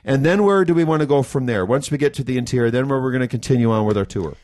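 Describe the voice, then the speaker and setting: Man, co-host, excitable and wandering, mid-pitched, casual talk. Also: very fast. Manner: enthusiastic, rambling, thinks aloud. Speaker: co-host of a nutrition school podcast. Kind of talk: casual conversational chat.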